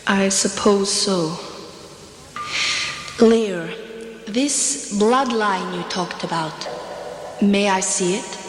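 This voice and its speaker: An actress playing a composed, determined traveller in a sci-fi audio drama, measured and quietly persuasive. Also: female voice, mid-range voice, slight background noise. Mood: calm, soothing. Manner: measured, deliberate. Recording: echoey hall reverb, music behind.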